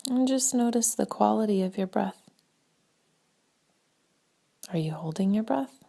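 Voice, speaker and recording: A woman leading a guided meditation in a gentle, spaced-out way, her soft breathy voice often trailing toward a whisper. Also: mid-range voice, lively intonation. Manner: slow, gentle, spaced-out phrasing with long pauses.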